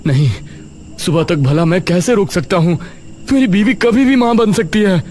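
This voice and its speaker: A man's voice: high voice, normal speed, a little mellow, slight background noise, pitched above the performer's own voice, close to an everyday voice. A man voicing an anxious young husband desperate for help in a horror story, over music.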